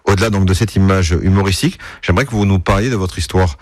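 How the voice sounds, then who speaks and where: A man's voice: sits low, fast talker, darkish tone, dry recording, studio quality. A man working as a radio reporter, interviewing a guest politely with some hesitation.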